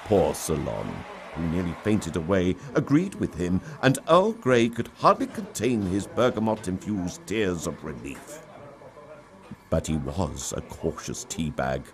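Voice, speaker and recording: Male storyteller, low register, unhurried pace, theatrical and expressive narration. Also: slight background noise. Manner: theatrical, dramatic delivery. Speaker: story reader.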